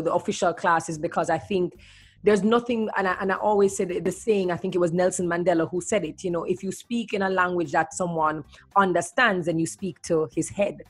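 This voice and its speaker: Lively woman host. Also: fairly low pitch. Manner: lively, slips into playful patois. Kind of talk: casual chat.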